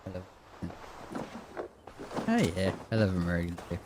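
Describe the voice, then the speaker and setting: A man's voice: low-pitched, big pitch swings, normal speed. A man from Ireland with an Irish accent, streaming a game and chatting casually with viewers in a rambling way, full of fillers. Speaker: gaming streamer. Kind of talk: casual gameplay chat with viewers. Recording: noisy gameplay audio with horse sounds.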